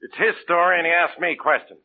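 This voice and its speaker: A man's voice: on the high side, fast, natural voice. An actor playing a dry, sarcastic male police inspector in 1940s radio-drama style. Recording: narrow phone-like old radio broadcast.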